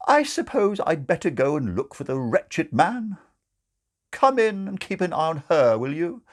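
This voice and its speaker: A man voicing a brisk, bossy, matronly senior nun with a firm, condescending manner in a storybook reading. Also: fairly high pitch, sing-song, voice pushed up.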